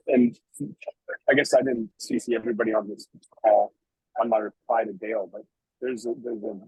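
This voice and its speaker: Male researcher, raspy and creaky, thoughtful halting technical talk, dry call audio. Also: fairly low pitch, a little mellow, no echo, low-quality recording. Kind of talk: unscripted technical discussion. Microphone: teleconference call.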